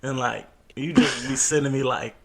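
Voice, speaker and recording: A man's voice: mid-range voice, fairly even intonation, normal speed, creaky, a loud crowd. A young man co-hosting a podcast, chatting casually with boastful, playful teasing. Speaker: podcast co-host. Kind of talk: casual chat.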